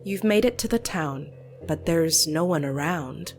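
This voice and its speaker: A woman narrating a scripted story in a measured, matter-of-fact way. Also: low voice, lively intonation, faint music behind.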